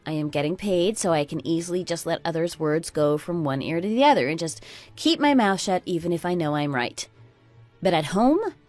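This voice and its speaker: A woman playing a stubborn, sardonic working daughter-in-law in a radio drama, speaking in a measured, dry and pointed way. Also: lowish voice, voice pushed down. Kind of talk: scripted radio drama dialogue.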